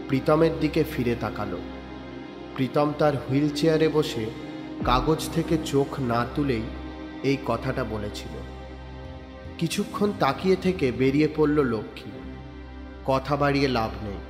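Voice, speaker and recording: A man narrating a crime audio story in a measured, descriptive storytelling style. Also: mid-range voice. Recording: reverberant room with background music. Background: soft background music.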